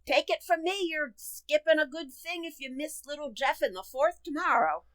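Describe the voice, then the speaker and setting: A woman's voice: sits high, animated, moderate pace, a bit bright, no echo. A woman playing a brash, slangy, overfamiliar housekeeper in a radio comedy play. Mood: cheerful, slangy. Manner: brassy, overfamiliar, slangy, blunt. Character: brash, gabby, slangy housekeeper who ignores her place. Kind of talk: radio comedy theater acting.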